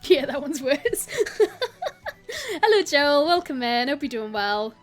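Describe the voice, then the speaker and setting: A woman's voice: sits high, animated, unhurried, soft background music. A young woman with a South Welsh accent, chatting casually with viewers on a live stream. She talks in a rambling way, giggles often and greets people as they arrive. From South Wales. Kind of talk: casual live-stream chat while gaming.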